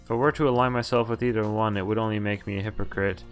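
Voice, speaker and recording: A man's voice: on the low side, steady tone, fast. A man streaming a game, reading its story aloud, who often stumbles and corrects himself. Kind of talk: reading a visual novel aloud. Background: game music.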